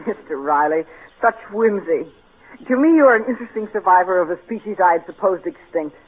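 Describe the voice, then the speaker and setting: A man's voice: sits high, lively intonation, a little fast. An actor playing a snooty, precocious rich boy with pompous, precise, bookish diction in an old-time radio comedy. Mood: disdainful, skeptical. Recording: tinny, noisy vintage radio recording.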